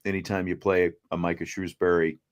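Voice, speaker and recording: A man's voice: low-pitched, flat delivery, a little fast, clean tone. A man hosting a sports talk show, speaking off the cuff in a wordy way that repeats and rephrases his points. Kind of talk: unscripted sports discussion.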